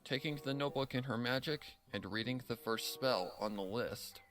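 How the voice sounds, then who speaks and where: A man's voice: medium pitch, moderate pace, a bit bright. A man reading scripted story narration as the narrator. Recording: music under the voice.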